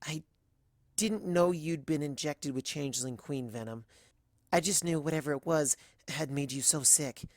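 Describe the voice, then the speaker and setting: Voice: mid-range voice, normal speed, dry recording, clean studio sound, lightly acted. A reader voicing a timid, earnest young female pony who speaks in a hesitant, pleading way, in a dramatic fan fiction reading. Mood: apologetic.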